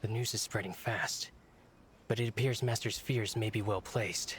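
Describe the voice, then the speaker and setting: A man's voice: on the low side, fairly even intonation, normal speed, a little hiss or hum, close to an everyday voice. A man voicing a loyal young male retainer in a video game, polite, earnest and a little anxious. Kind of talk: game voice acting.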